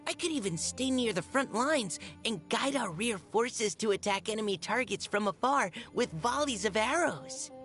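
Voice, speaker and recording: Video game scout, young female: timid, polite, earnest, gentle delivery over background music. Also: fairly high pitch, expressive, normal speed, few pauses. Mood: earnest, focused. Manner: hesitant, soft-spoken, polite, earnest. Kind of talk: video game character voice lines.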